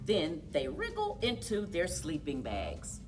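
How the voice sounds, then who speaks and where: A woman reading a children's story aloud in a deliberate, expressive way for young listeners, as a story time host. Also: sits low, far from the mic, music in the background.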